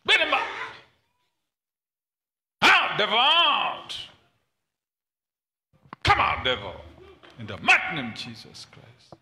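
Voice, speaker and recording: A man's voice: very high voice, wildly swooping pitch, slow pace, long pauses, bright, forceful tone. A middle-aged man preaching and praying commandingly in short repeated phrases to a congregation in a large hall. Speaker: preacher leading prayer and prophecy. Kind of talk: deliverance prayer and prophecy to congregation. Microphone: handheld microphone. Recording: noisy hall PA with ambient sound.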